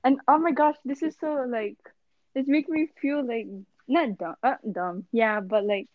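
A young woman chats through a long anecdote, her speech full of fillers and quick acted-out quotes. Her voice sits a bit high. The recording is dry and a little muffled. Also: no room sound. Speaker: podcast co-host. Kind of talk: casual storytelling chat.

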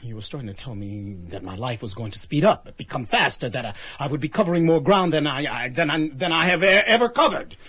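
A bewildered, anxious ordinary man in a radio drama. He stammers in disbelief, his husky voice rising and falling sharply. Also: a little high, expressive, a little fast, few pauses, sharp tone, full character voice. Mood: confused, uneasy.

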